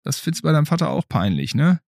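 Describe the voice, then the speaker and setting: A man's voice: a little high, very animated, fast, vocal fry, darkish tone, dry recording, studio quality. A man hosting a podcast, chatting casually and playfully as he interviews a child. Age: adult. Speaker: podcast host.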